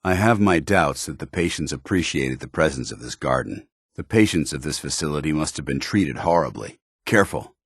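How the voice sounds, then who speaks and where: A stern soldier of principle, with an extremely low voice that has a husky edge. He speaks formally and earnestly, like a commanding officer loyal to his order. It is video game companion dialogue, recorded dry and close. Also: no echo, exaggerated voice.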